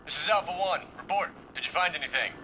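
A curt commanding officer. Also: male voice, on the high side, lively intonation, fast talker, pushed and bright, slight background noise, low-quality recording. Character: commanding officer giving radio orders.

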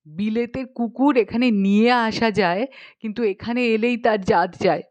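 A woman voicing a fussy, worried elderly British gentleman in a storybook reading, in a grave and fretful manner. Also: a little high, moderate pace, close to an everyday voice.

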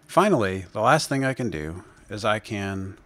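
Male screencast presenter, lower register, measured step-by-step tutorial delivery, unscripted. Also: sing-song, a bit bright, dry recording. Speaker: presenter of a software demo.

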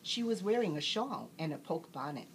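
A woman narrates a bedtime story in a low-pitched voice with lively, swinging intonation. Her storytelling is expressive, and there is faint noise in the background. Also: moderate pace, poor audio.

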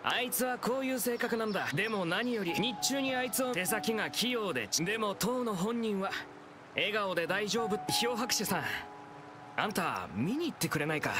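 Chatty young food vendor, anime game, high voice, very animated, casual. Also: male voice, normal speed, music in the background. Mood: fond, easygoing. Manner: rough, familiar, casual speech. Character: chatty, easygoing young male food stall keeper.

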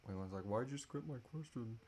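A man in his thirties with a mid-pitched voice chats casually as a live Q&A co-host. He is loose and jokey and swears offhandedly. He sounds recorded on a device in a small indoor room. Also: animated, a little fast, mellow, slight background noise. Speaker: podcast co-host answering listener questions.

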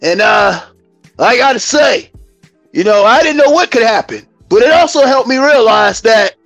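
An American man around fifty hosting a live stream as a comedic mock church testimony, with a theatrical, drawn-out, preacher-like delivery. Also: extremely high pitch, gravelly, a bit bright, dry recording. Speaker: YouTube live stream host.

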